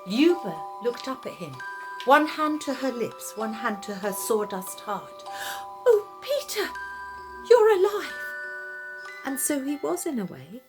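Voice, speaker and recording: A woman reading a story aloud dramatically, with theatrical, suspenseful phrasing. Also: on the high side.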